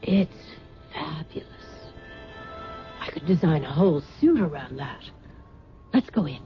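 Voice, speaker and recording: An actress playing a bold, scheming middle-aged fashion designer in a radio drama, imperious and excitable, with theatrical breathy exclamations. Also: female voice, deep voice, measured pace. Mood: thrilled. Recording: dull old radio recording, music behind.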